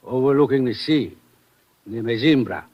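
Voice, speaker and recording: An actor playing a curt, shady Portuguese smuggler guide in a 1950s radio drama. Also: male voice, medium pitch, moderate pace, highs cut off, close to an everyday voice. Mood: curt, secretive.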